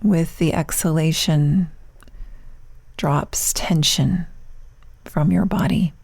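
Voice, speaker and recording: A woman leading a guided meditation as a yoga nidra teacher, speaking in hushed, soft phrases with long pauses, turning breathy at phrase ends. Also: low-pitched, fairly even intonation, no room sound. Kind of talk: guided meditation, soothing instruction.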